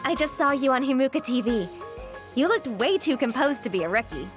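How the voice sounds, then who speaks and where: A gentle young anime-game recruit. Her voice is high and very expressive, tentative and admiring, with music behind it. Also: a little fast.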